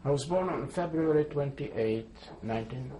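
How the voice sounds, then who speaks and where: A man's voice: medium pitch, moderate pace, warm tone, slight background noise, rough recording. A man in his seventies, born in Poland, giving a careful oral history testimony and spelling names letter by letter. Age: 70s. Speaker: interviewee.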